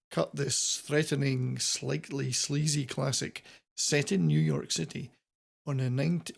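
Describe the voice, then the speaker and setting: A man with a creaky, gravel-edged voice at a middling pitch presents a music countdown. His delivery is semi-scripted commentary, wordy and playfully florid, in the manner of a rock channel host.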